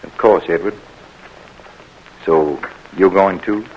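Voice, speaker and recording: A gentle, fatherly plantation owner. His voice is fairly low and calm, with a faint hiss behind it, as in an old radio play. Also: slow pace, muffled recording. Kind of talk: 1940s radio acting.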